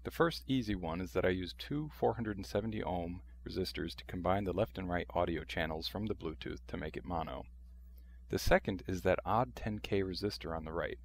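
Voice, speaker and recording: A man's voice: sits low, a little fast, creaky. A man narrating a how-to demonstration in a dry, matter-of-fact, wry way. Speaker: video host showing a restored vintage radio.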